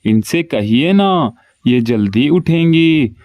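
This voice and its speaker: A man's voice: mid-range voice, animated, takes it slowly, no echo, dull audio. A man voicing a lazy, greedy young male crow in a cartoon, whiny and scheming. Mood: pleading. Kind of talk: cartoon voice acting.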